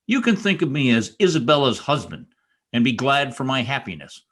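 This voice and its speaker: An actor playing a brooding, bitter, vengeful spurned lover in an old-time radio drama, speaking formally and intensely. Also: male voice, medium pitch, very animated, moderate pace, a bit bright. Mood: bitter, accusing. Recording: remote video-call recording.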